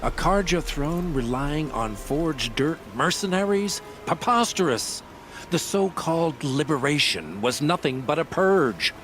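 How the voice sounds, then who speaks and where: A man's voice: a little high, expressive, a little slow, fairly bright tone, a little hiss or hum. A man playing a bitter, proud religious zealot in a video game, indignant and pompous in his speech. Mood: indignant, scornful. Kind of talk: video game dramatic dialogue.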